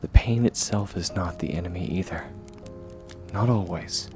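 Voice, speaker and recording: A man playing a gentle, steadfast young swordsman mentor in a comfort ASMR roleplay, speaking softly and reassuringly, at times close to a whisper. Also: sits low, music in the background. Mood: gentle, encouraging. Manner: soft, reassuring, measured, often near whisper.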